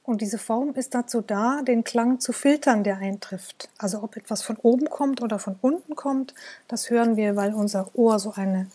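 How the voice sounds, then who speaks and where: A woman giving explanatory expert commentary in a measured, didactic and precise manner. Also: fairly high pitch, warm tone. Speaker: musicology expert.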